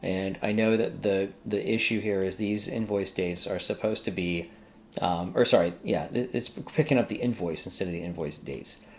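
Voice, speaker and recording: Male tutorial host, very low and creaky, casual think-aloud delivery with halting muttering, slight noise behind. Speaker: tutorial host, accountant who codes. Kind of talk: casual screen-recorded coding walkthrough, thinking aloud.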